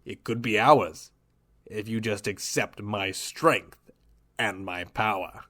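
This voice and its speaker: A man voicing a cold, gloating male villain scientist who talks in a soft, smug, menacing drawl in an audio drama. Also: fairly low pitch, takes it slowly. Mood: coaxing. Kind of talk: amateur audio drama acting.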